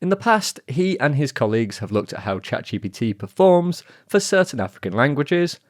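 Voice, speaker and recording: A man hosting a science podcast, reading scripted narration with a lively, expressive delivery. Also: moderate pace, dry recording, studio quality. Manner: lively, expressive delivery with wide pitch swings. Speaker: podcast host and reporter.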